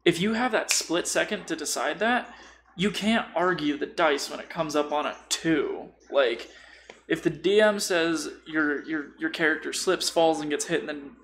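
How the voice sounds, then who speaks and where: A man's voice: on the high side, unhurried, fairly bright tone, a little hiss or hum. A man in casual podcast conversation, explaining tabletop role-playing in a blunt, joking way. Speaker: podcast speaker.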